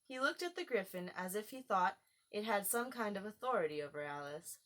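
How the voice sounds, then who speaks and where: A woman's voice: mid-range voice, expressive, brisk, a bit bright. A woman reading a children's story aloud as an audiobook narrator.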